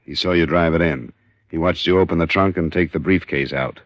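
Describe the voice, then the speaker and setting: An actor playing a steady, probing American insurance investigator in an old radio crime drama, speaking in terse, measured questions and dry narration. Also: male voice, low voice, lightly acted. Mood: pressing. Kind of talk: 1950s radio detective drama acting. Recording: muffled vintage radio recording.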